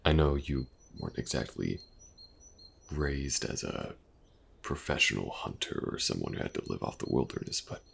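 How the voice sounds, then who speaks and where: A very deep-voiced elf mercenary, acted by a man, full of gravelly creak. He is a patient, gently awkward companion in a fantasy audio roleplay, speaking softly with halting pauses. Faint outdoor ambience sits behind him. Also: animated, a little hiss or hum, exaggerated voice. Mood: friendly, encouraging. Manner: hesitant, soft-spoken, casual, with halting pauses.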